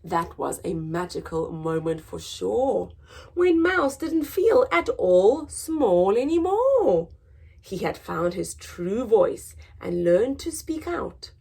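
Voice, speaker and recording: A woman reading a children's picture book aloud in a dramatic, expressive storytelling manner, moving between hushed and emphatic delivery. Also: medium pitch, slow, gravelly, slightly rough. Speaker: story time reader.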